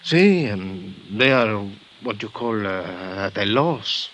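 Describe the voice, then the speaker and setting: An actor playing a grave, formal Mexican hospital doctor, speaking in a measured, halting way in a 1950s radio drama. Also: male voice, on the low side, lively intonation, fairly bright tone. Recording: old radio broadcast with faint hiss.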